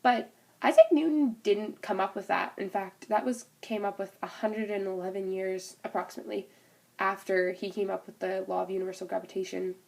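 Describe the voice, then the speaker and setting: A young woman speaks with a stuffed-up nose from a cold, her voice sitting at an ordinary middle pitch. She explains a physics idea in a loose, informal way, with fillers and restarts, like a student's homework recording. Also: fairly even intonation, fairly quick, vocal fry. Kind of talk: informal spoken class presentation.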